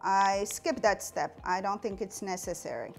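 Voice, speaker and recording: A woman's voice: medium pitch, animated, moderate pace, over music. A woman in her forties from Morocco, hosting a cooking show and explaining each step as she cooks in a home kitchen on a lapel mic. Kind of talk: cooking demonstration.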